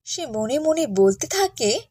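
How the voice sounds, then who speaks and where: A woman narrating a cartoon tale and voicing a gentle, caring, pious village mother. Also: high voice, very animated, slow pace, heavily acted. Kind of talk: cartoon storytelling with character dialogue.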